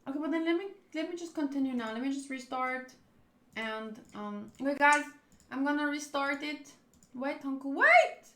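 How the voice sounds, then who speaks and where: A woman game streamer with a high, bright voice. She chats and reads aloud theatrically, often drifting into asides, in a roomy, echoing space. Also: animated, moderate pace. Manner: chatty, theatrical, swerving into asides. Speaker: streamer playing a visual novel. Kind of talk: casual live playthrough commentary, reading aloud.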